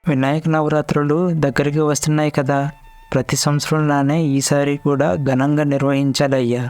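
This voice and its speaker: A man's voice: fairly high pitch, flat delivery, a little fast, darkish tone, dry recording, soft background music, natural voice. A man playing a dutiful, respectful village elder in a cartoon story, earnest and plain-spoken. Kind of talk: Telugu cartoon story dialogue.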